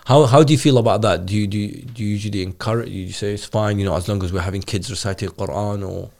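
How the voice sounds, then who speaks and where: A man interviewing a guest in casual conversation, talking haltingly with many restarts and fillers. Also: on the low side, fairly even intonation, creaky, a little mellow.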